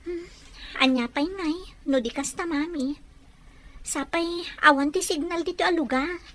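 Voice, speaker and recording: Woman as a polite grown daughter; high, agreeable voice. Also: a little slow, fairly bright tone. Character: dutiful grown daughter, patient. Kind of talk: amateur audio drama acting.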